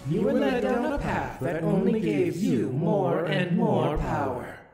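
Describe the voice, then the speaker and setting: An ancient, otherworldly ruler from a visual novel, speaks slowly and solemnly with sweeping, theatrical intonation. The grave voice rings with echo over loud music. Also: male voice, a little high, big pitch swings. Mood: measured, explaining. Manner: grave, deliberate, theatrical pronouncements. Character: solemn, ancient otherworldly ruler, calmly justifying terrible deeds. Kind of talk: dramatic visual novel voice acting. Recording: echoing voice under loud music.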